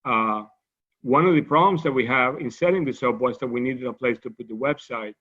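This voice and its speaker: A man with a Cuban Spanish accent gives a scientific lecture at a mid-range pitch. He talks loosely and conversationally, restarting phrases and dropping in asides. The sound is a bit dull and lo-fi. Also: brisk, a bit bright. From Cuba. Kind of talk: academic lecture.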